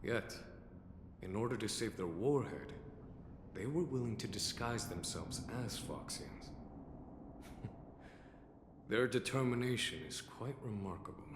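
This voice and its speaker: Dignified, low-voiced fantasy general. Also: male voice, lively intonation, background noise. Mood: calm authority. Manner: measured, authoritative, formal. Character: calm, dignified general commanding a fantasy realm. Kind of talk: scripted video game voice acting. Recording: echoey reverb, music behind.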